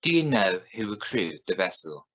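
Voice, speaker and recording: A man playing a serious, probing evening news reporter in a science fiction radio play, speaking in a formal, measured way. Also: low-pitched, sing-song, a bit bright, heavily acted, poor audio. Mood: sombre, respectful. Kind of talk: scripted radio news broadcast in a drama.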